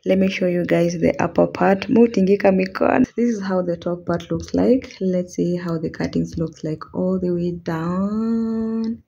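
A woman's voice: on the low side, unhurried, vocal fry, dark tone, highs cut off. A young Kenyan woman vlogging casually, chatting in English with Swahili words mixed in, speaking to a camera on a stand in a small apartment. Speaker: home vlogger. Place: small apartment room, indoors. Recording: echoey bare room, faint noise.

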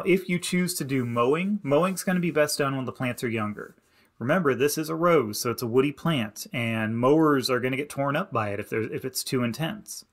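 A man narrating a slideshow lesson as an extension educator, giving plain, practical advice in a steady instructive way. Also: medium pitch. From Indiana. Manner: plain, practical and advising, with many "you need to" instructions. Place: voice-over to slides.

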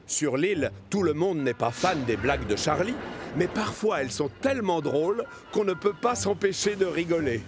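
A man narrating a children's cartoon as an expressive storyteller. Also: on the high side, normal speed, music in the background.

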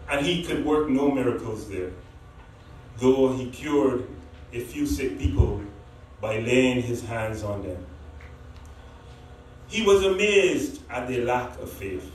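A man reads the gospel in a slow, careful cadence, his voice slightly high. He is captured from a distance, with the long echo of a church and faint background hiss. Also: animated, darkish tone. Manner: measured, deliberate reading. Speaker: deacon reading the gospel. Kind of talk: liturgical gospel reading. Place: large church hall. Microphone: far camera across the church. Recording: echoey church from far off.

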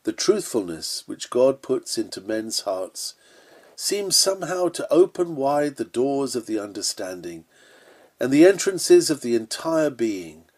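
A man's voice: mid-range voice, darkish tone. Earnest man reading an old sermon. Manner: earnest, emphatic, solemn. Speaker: sermon reader. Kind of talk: read-aloud Victorian sermon, earnest preaching delivery.

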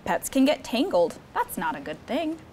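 A young woman hosting story time, reading a picture book aloud to children in an expressive, encouraging way. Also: high voice, normal speed, a little hiss or hum. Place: studio set with seated children.

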